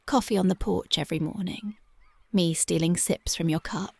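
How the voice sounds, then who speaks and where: A tender young punk girlfriend, voiced by a woman, murmurs slow, dreamy sweet talk in a close ASMR roleplay. Her voice sits lowish and husky, with a gravelly fry that rasps through the hushed words. The sound is dry and close, with no room around it. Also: fairly even intonation, dry recording. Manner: dreamy, intimate, affectionate. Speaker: voice actress. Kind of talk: ASMR roleplay, soft-spoken and whispered. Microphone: close mic.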